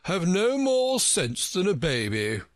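A man voicing a pompous, testy egg-man from a storybook, with a haughty, growling, drawn-out delivery. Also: sits high, huge pitch swings, dry recording, pitched above the performer's own voice, full character voice. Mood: haughty, offended. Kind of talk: storybook character reading.

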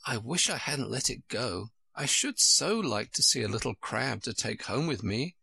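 A man's voice: medium pitch, lively intonation, moderate pace, vocal fry, sharp tone, breathy edge, no echo, exaggerated voice. A man voicing a curious, polite young girl in a raised, wondering and timid voice.

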